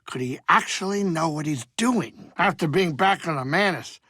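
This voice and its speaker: Video game alien cook-pilot, older, grumpy and rambling; highish voice with very animated pitch, colloquial and fretful. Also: male voice, moderate pace, breathy edge, no room sound, clean studio sound, exaggerated voice. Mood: grumbling, fussy. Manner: colloquial, fussy, blustering, rambling. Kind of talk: video game character dialogue.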